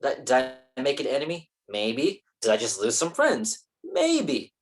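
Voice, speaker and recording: A woman's voice: extremely low pitch, wildly swooping pitch, unhurried, full character voice. A woman in a staged play reading, playing a defensive, ambitious male film actor with a casual, profane manner. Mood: casually defiant.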